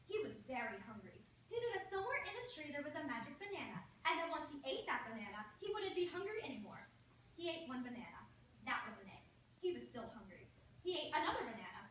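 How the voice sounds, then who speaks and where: A woman's voice: high-pitched, big pitch swings, quick pace, a bit bright. A woman playing an exasperated little schoolgirl on stage in reader's theatre. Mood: storytelling. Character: exasperated little schoolgirl Rondi with missing front teeth. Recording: very echoey room, distant mic, faint noise.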